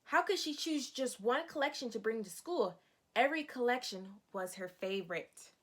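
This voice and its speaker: A woman with a fairly high voice reads a children's picture book aloud. She speaks as a teacher would to young listeners, explaining as she goes and posing questions to them. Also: expressive, normal speed, fairly bright tone. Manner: instructive, addressing young children.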